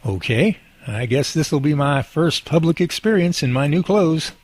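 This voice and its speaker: A man plays a devout, easygoing husband in an amateur radio drama. His voice is husky and animated, sitting a little high, and his way of talking is folksy and good-humored. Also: normal speed, no echo. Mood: playful.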